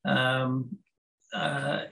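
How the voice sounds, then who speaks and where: A Welsh man in his fifties, a guest on a podcast, talking casually with many pauses, fillers and restarts. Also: mid-range voice, completely flat delivery, gravelly, sharp tone. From Wales. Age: 50s. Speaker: guest, snooker player and federation chairman.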